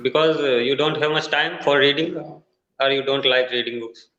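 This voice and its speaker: A man from India with an Indian English accent, a Hindi native speaker learning English, talking haltingly in an online tutoring conversation over a video call. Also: mid-range voice, fairly even intonation, bright, forceful tone. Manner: halting, searching for words with many uh fillers. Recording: echoey, dull video-call audio.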